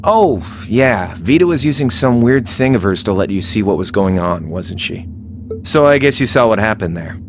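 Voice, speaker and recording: A man's voice: fairly low pitch, expressive, normal speed, music in the background. An actor playing a cocky, laid-back young male rebel in a video game, teasing and flippant in a casual way. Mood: wry, reflective. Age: young adult.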